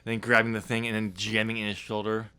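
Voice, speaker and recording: A man's voice: lowish voice, flat delivery, fast talker, bright, forceful tone, clear, resonant tone. A man hosting a podcast, casually talking through his own writing in a hesitant, explanatory way. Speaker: podcast host and author. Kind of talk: casual discussion of his novel draft.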